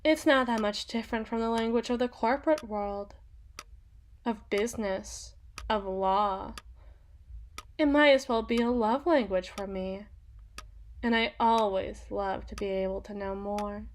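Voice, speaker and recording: A woman's voice: medium pitch, unhurried, slight background noise, close to an everyday voice. A woman playing a seductive, sadistic female demon in a teasing, condescending, theatrical ASMR roleplay monologue. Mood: smug, seductive.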